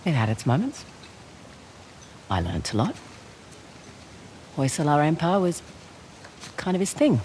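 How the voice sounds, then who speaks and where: A woman's voice: low-pitched, sing-song, unhurried, long pauses, a little mellow, a little hiss or hum. A woman playing a wry, teasing, adventurous treasure hunter in a video game cutscene. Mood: wry, easygoing.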